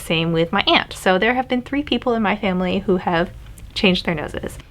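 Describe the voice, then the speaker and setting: A woman's voice: mid-range voice, fairly bright tone. A young adult woman talking candidly to camera in a casual personal vlog, laughing now and then. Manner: candid, chatty, laughs often. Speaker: vlogger.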